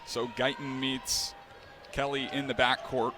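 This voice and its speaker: A young man doing live play-by-play, with a quick, crisp call that climbs into excited shouts on big plays. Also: mid-range voice. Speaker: play-by-play announcer. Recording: broadcast with loud arena crowd and noise.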